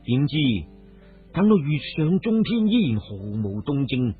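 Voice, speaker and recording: Male narrator, Cantonese accent, dramatised radio storytelling: highish, gravel-husky voice, faint music behind. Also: big pitch swings, measured pace. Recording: muffled phone-like radio audio, music behind.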